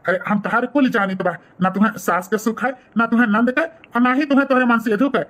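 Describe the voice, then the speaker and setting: A man's voice: very high voice, quick pace, a bit bright. A man voicing a flirty, scheming brother-in-law in a village comedy cartoon, sly and wheedling, with a Bhojpuri accent. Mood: flirtatious.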